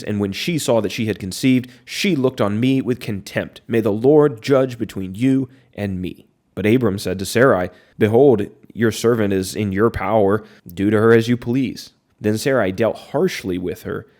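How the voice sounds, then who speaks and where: A man with a slightly low voice, hoarse from being sick, hosting a podcast. He reads the scripture aloud and explains it in an easy, conversational teaching tone. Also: darkish tone. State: hoarse from illness. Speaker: Bible study podcast host.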